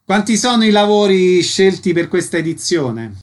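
A man's voice: high-pitched, very animated, moderate pace, slight background noise. A man working as a radio interviewer, delivering prepared announcements and questions.

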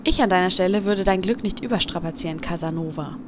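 A woman playing a sharp-tongued adventurer in a comedic audio drama, dry and teasing. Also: lowish voice, fast talker, background noise, lightly acted. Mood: mocking.

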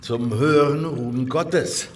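An actor playing a sober, wary fugitive heretic in a German historical radio drama, with measured, solemn delivery. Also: male voice, medium pitch, far from the mic, a little hiss or hum, close to an everyday voice. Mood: dry, tense.

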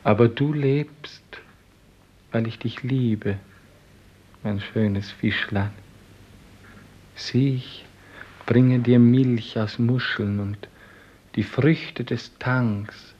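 An actor playing a devoted, dreaming clerk in love, speaking solemn poetic lines in a 1950s German radio play. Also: male voice, medium pitch, steady tone, darkish tone. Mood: tender, rapturous. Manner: solemn, poetic, slow declamation. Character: devoted dreaming office clerk, tender and rapturous lover. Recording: dull 1950s radio recording.

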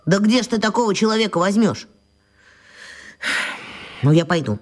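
A woman's voice: mid-range voice, animated, normal speed, a little mellow, natural voice. A woman voicing a plain-spoken, cheeky and slightly awkward young schoolboy in a children's radio play. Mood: hesitant, grumbling.

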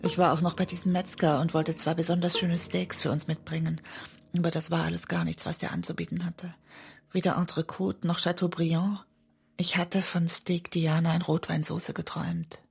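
A woman's voice: fairly low pitch, little pitch movement, moderate pace, creaky, a little mellow, slightly rough, heavily acted. An actress playing a weary, intense woman lover in a radio drama, speaking intimately and often close to a whisper. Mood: domestic, chatty. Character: weary, intense woman lover, narrating and confronting her partner. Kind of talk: radio drama acting and literary narration.

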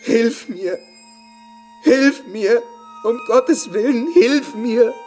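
An actor playing an abject, frightened, tormented boarding-school pupil who speaks in a pleading way in a radio drama. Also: male voice, very high-pitched, extremely slow, warm tone, exaggerated voice. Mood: frantic begging. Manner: pleading, desperate. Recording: music clearly behind the voice.

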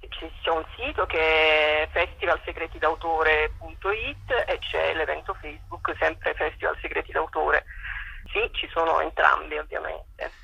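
A woman speaking spontaneously in a telephone interview as a festival director, often halting and repeating words as she searches for them. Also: deep voice, fairly even intonation, creaky, bright, forceful tone, husky, no room sound. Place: on a telephone call. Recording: phone line.

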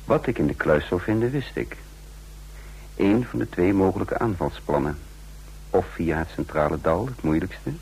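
A man's voice: sits low, lively intonation, normal speed, gravelly, a little mellow, breathy edge, exaggerated voice, low-quality recording. A man playing the careful, commanding chief of a break-in team in a radio drama, terse and controlled. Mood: narrating, tense. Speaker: narrator and lead character. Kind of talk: radio drama acting and narration. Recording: radio drama with faint background noise.

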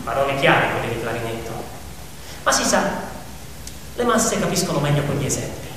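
A man performing a theatrical narrative monologue as actor-narrator, dramatic and declamatory, with repeated phrases and pointed pauses. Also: mid-range voice, big pitch swings. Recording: very echoey hall from far off, faint noise.

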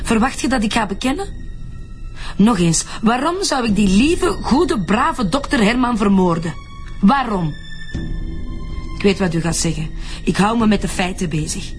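A woman's voice: mid-range voice, lively intonation, moderate pace, pauses often, faint music behind, natural voice. Actress as a defiant Flemish woman suspect. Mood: indignant. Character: defensive, defiant woman suspect under police questioning. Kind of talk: radio drama dialogue.

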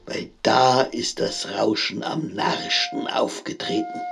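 A man's voice: mid-range voice, wildly swooping pitch, takes it slowly, vocal fry, over music, heavily acted. An actor playing a paranoid, rambling rustic man with a Bavarian accent, muttering a dialect monologue in a radio play. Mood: suspicious, agitated.

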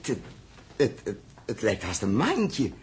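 An actor playing a calm, reflective male spaceship captain in a 1960s Dutch radio drama. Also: fairly low pitch, a little hiss or hum, exaggerated voice. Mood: hushed awe. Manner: measured, musing, commanding.

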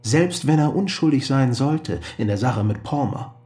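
Actor as earnest, very animated male visitor. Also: medium pitch, moderate pace, close to an everyday voice. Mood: earnest, brisk. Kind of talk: naturalistic radio drama acting.

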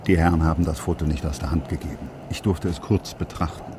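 A grave, secretive surgeon and anxious father in a radio crime play. His voice is extremely deep and he talks in terse, halting sentences. Vehicle noise is clearly heard around him. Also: fairly even intonation. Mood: tense, factual. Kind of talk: German radio crime drama acting.

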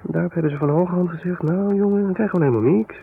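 An actor playing a weary, sardonic artist husband in a 1970s radio drama, grumbling and bitter, with a husky voice. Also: male voice, high-pitched, fast, a little hiss or hum. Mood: brooding, bitter. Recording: thin phone-like vintage radio sound.

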